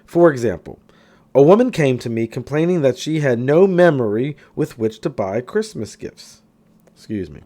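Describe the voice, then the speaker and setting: A man narrates a self-help book aloud. His intonation moves up and down in an animated way, and he leans on key phrases with clear emphasis. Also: medium pitch, normal speed. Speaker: audiobook reader.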